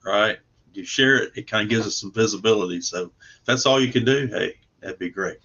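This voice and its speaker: A man speaking as a guest in a casual interview, in a halting, plain-spoken way. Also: on the low side, pushed and bright, slightly rough, lo-fi sound. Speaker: guest comic book creator.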